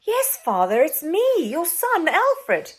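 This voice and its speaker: A woman's voice: high-pitched, huge pitch swings, takes it slowly, pitched above the performer's own voice, heavily acted. A woman voicing an earnest, brave young prince in a children's storybook, urgent and pleading.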